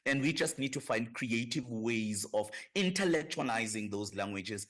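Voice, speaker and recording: A man's voice: medium pitch, expressive, moderate pace, fairly bright tone. A man from South Africa with a South African accent, a professor guest on a radio talk show, speaking with impassioned emphasis in building lists. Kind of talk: radio discussion.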